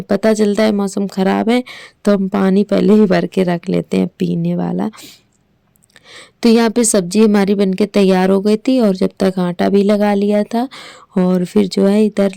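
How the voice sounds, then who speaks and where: Hoarse woman vlogger in her thirties, Hindi accent, gravelly, flat delivery, dry close sound. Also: mid-range voice, dry recording. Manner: rambling, with many fillers. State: hoarse from a sore throat. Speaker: village vlogger narrating her day. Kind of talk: casual voice-over narration.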